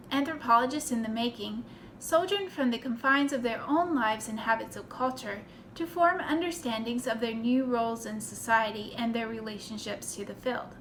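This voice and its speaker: High-voiced woman reading scripted narration. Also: fairly bright tone. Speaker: narrator reading a tribute essay. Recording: noticeable background noise.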